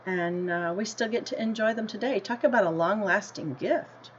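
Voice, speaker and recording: An American woman teacher reading a picture book aloud to children, deliberately, with simple explanatory asides and questions to her listeners. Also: medium pitch. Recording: dull lo-fi sound with noticeable background noise.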